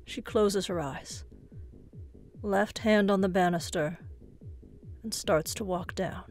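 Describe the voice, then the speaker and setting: A woman narrating a scripted horror story in a suspenseful manner, often hushed, with dramatic pauses. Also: medium pitch. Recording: music bed behind the voice.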